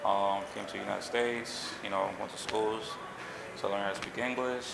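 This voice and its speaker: A man from Haiti in his thirties, a pilot and jet company owner, telling his story in a relaxed, rambling interview with lots of fillers. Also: fairly low pitch, moderate pace, gravelly. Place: outdoors on a city street. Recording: echoey with loud background noise.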